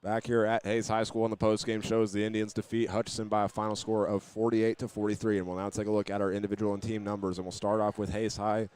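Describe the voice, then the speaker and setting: Low, level-voiced male sports announcer. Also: flat delivery, fast talker, hardly any pauses, darkish tone, a little hiss or hum. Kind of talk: postgame basketball radio commentary reading statistics.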